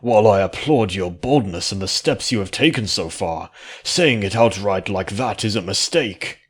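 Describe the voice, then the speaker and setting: Storybook elder played by a man: old unicorn aristocrat, shrewd, wary, admonishing, low register. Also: lively intonation, breathy edge. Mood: stern, wary. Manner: admonishing, deliberate. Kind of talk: dramatic fan fiction reading.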